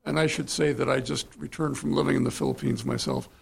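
A man making a considered comment from the audience in measured, formal phrases. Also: medium pitch, huge pitch swings, creaky, slightly rough. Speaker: audience member.

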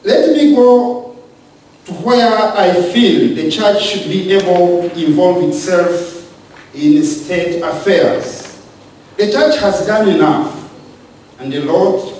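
High-pitched man from Uganda, echoey hall. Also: a little mellow, slight background noise. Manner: measured, with halting restarts. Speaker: speaker on church and state. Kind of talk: lecture-style talk. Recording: echoey room from far off.

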